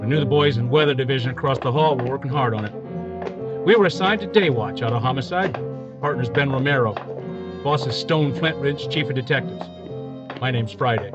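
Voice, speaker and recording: A man playing a deadpan police detective. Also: mid-range voice, a little fast, muffled recording, over music, lightly acted. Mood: matter-of-fact. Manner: clipped, flat, matter-of-fact narration. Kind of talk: parody of old police radio drama.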